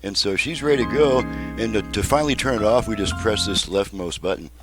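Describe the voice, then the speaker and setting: A man's voice: lowish voice, fairly quick, music in the background. A man giving a casual hands-on demonstration as a repair technician, explaining methodically in plain words, step by step. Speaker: radio repair technician, video host.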